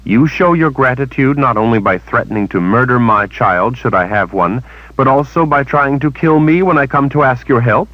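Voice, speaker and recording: A man's voice: mid-range voice, moderate pace, no room sound, lo-fi sound, pitched above the performer's own voice, natural voice. A man voicing a young woman who speaks indignantly and defiantly. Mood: angry. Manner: indignant, rising.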